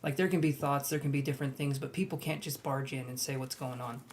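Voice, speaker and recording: A young man reading tarot on a live stream, chatting and gently reassuring his viewers. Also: mid-range voice, fairly even intonation, quick pace, a little hiss or hum. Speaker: tarot reader.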